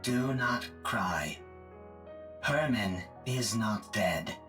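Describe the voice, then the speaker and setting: An actor playing a calm, emotionless male combat support robot who speaks in flat, precise, formal phrases. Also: lowish voice, very slow, music in the background. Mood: measured.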